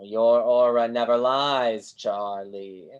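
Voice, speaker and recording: A woman's voice: a bass voice, fairly even intonation, slow, smooth, muffled recording. A woman playing a grandiose, kindly street fortune-teller with florid delivery in a staged play reading over a video call. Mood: tender, solemn. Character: Great Banzini, grandiose theatrical male street fortune-teller, kindly mystic.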